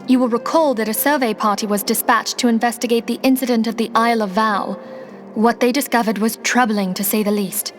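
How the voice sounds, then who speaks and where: A woman playing a composed, dignified noblewoman leader in a fantasy game, formal and gravely earnest. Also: a little high, steady tone, a little fast, faint music behind, lightly acted. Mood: troubled. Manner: formal, poised, careful phrasing. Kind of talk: fantasy game cutscene acting.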